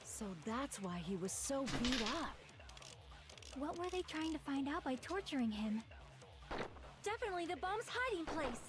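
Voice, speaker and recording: A woman's voice: high-pitched, big pitch swings, moderate pace, pauses often, heavy background noise. A woman voicing an earnest young girl student in an anime-style video game, speaking emphatically. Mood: suspicious, deducing. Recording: game music behind.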